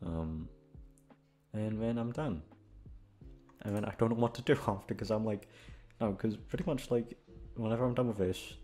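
Low-pitched young man rambling casually. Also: warm tone. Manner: rambling, hesitant, casually sweary. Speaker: ASMR audio creator, voice actor. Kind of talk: casual off-the-cuff outro chat to listeners.

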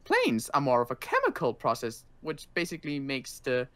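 A weary male survivor in a zombie game, played by a man. He speaks dryly and haltingly at a middle pitch, grudging and curt with those around him. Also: wildly swooping pitch, bright, forceful tone, dry recording. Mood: dry, explaining. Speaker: roleplay player. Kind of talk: improvised game roleplay.

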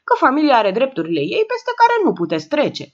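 Woman reader plays placating polite man, lively pitch, lo-fi. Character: polite conciliatory adult man.

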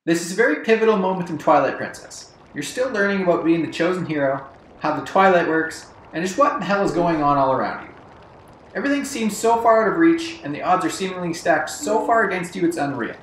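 Higher-pitched man narrating, roomy echo. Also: expressive, fairly quick, fairly bright tone, a little hiss or hum. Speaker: video narrator. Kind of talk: scripted countdown commentary. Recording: roomy echo, music bed. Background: background music.

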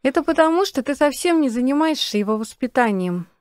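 Woman acting a high-voiced bossy mother. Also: animated, a little fast, darkish tone, dry recording, studio quality. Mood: reproachful. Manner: chiding, instructive. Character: reproachful, bossy Soviet mother and wife. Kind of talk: comic audio play acting.

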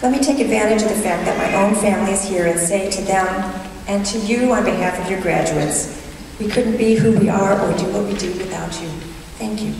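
A middle-aged woman giving a formal commencement address in an earnest, measured way with touches of humor, speaking at a podium on an auditorium stage. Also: mid-range voice. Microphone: podium microphone heard from the hall. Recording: distant echoey hall recording with noise.

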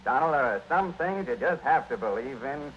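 A man's voice: mid-range voice, lively intonation, moderate pace, a little hiss or hum, close to an everyday voice. A man playing a jolly, kindly old Santa Claus in a radio play. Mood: gently teaching. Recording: muffled 1940s radio recording with music.

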